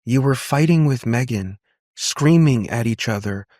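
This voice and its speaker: A man's voice: medium pitch, expressive, a little slow, darkish tone, no room sound, clean studio sound, voice pushed up. A man voicing a frightened little girl in an audiobook story, hesitant and earnest in her distress. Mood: tearful, distressed. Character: frightened little girl, tearfully recounting a nightmare.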